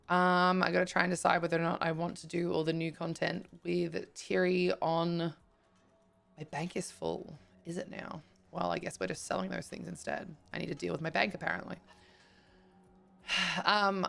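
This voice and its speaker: A woman streaming live, her voice croaky, chatting casually with viewers and thanking them as she plays. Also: fairly low pitch, fairly bright tone. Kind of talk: casual live-stream chat while gaming.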